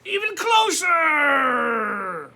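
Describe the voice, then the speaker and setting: Man doing a loud, drawn-out voice of darkness. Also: very high voice, sing-song, creaky, noisy background, voice pushed up, exaggerated voice. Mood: booming, beckoning. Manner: slow, commanding, stretched-out words. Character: the dark, a mysterious looming being calling out slowly.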